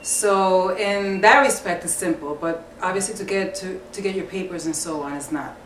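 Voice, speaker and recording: New woman, lowish voice, roomy sound. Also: normal speed. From New York, USA. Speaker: actor. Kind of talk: explaining her character on camera. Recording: roomy, distant mic, faint noise.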